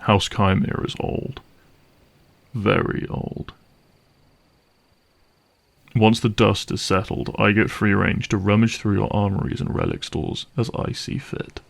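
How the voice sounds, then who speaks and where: Gravelly, low-voiced cynical vampire hunter played by a man. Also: unhurried, long pauses. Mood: guarded, bargaining. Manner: wry, sardonic, menacing. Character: gruff, cynical male vampire hunter for hire. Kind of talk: dramatic audio roleplay monologue addressed to listener.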